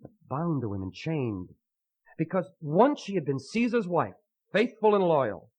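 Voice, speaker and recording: An actor playing an earnest, lovesick young man who speaks in halting, poetic, fervent phrases in a melodramatic radio drama. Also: fairly high pitch, sing-song, a little mellow, husky, heavily acted. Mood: brooding, earnest. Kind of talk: melodramatic old-time radio acting. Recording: muffled vintage radio recording.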